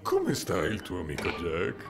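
A man voicing a menacing imaginary talking object with slow, drawn-out taunts in a dubbed comedy sketch. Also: low voice, huge pitch swings, soft background music, heavily acted. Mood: sinister taunting.

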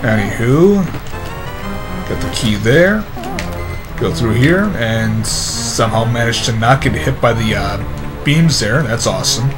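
A man's voice: mid-range voice, animated, a little slow. Tired man commentating over game music. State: tired, slightly hoarse. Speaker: let's play commentator. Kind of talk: casual gameplay commentary. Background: video game music.

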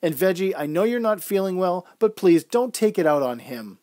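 A man voicing a calm, fair-minded veteran female clown who leads the troupe, in a radio-style story. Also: high voice, normal speed, no echo, clean studio sound, pitched above the performer's own voice. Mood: placating. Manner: calm, authoritative.

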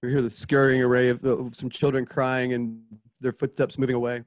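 A man acts as narrator for a radio drama recorded on a home call. His voice is medium in pitch as he reads scripted action and spoken sound effects. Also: flat delivery, fairly quick. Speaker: narrator reading stage directions. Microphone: home video call.

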